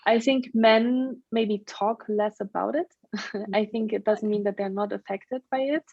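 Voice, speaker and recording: A woman's voice: mid-range voice, fairly even intonation, measured pace, gravelly, darkish tone. A woman from Germany in her thirties with a German accent, talking conversationally about herself as a podcast guest. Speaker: podcast guest, burnout coach. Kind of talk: conversational interview answers, telling own story. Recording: roomy, slightly dull lo-fi sound.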